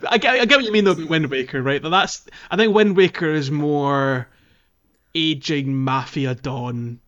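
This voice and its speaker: A man's voice: on the high side, unhurried, fairly bright tone. Slightly muffled male podcast host, casual. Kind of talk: casual game discussion podcast.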